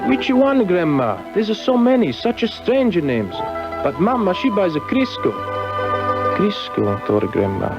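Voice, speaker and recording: Swooping, theatrical nostalgic grandson. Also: male voice, sits high, very animated, measured pace, over music, heavily acted. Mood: playful nostalgia. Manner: theatrical, exaggerated. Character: nostalgic grandson retelling childhood errand, voicing Grandma. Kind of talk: character storytelling radio commercial. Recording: muffled old radio broadcast tape.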